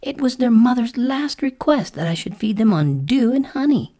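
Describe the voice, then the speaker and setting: Storybook caterpillar , played by a woman: mild, fretful little female creature, raised lilting pitch, earnest and exclamatory. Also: sing-song, moderate pace, dark tone. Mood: indignant. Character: mild, anxious green caterpillar, female, kind but indignant. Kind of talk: storybook character voice.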